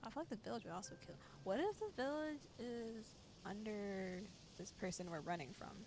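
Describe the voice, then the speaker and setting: A woman's voice: medium pitch, expressive, normal speed, background noise. A woman chatting casually on a livestream in a playful, giggly manner. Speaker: streamer playing a visual novel. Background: music.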